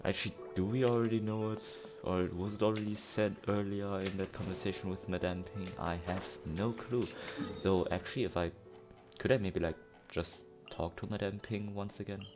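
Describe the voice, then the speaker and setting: A man's voice: fairly low pitch, steady tone, moderate pace. A man giving casual let's play commentary, rambling, thinking aloud and correcting himself as he goes. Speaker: gaming streamer. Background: game music.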